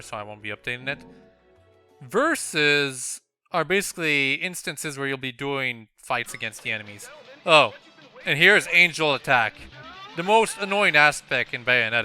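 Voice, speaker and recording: A man's voice: fairly high pitch, bright, forceful tone, smooth. A man hosting a Let's Play, giving casual, off-the-cuff commentary on a video game. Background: game music.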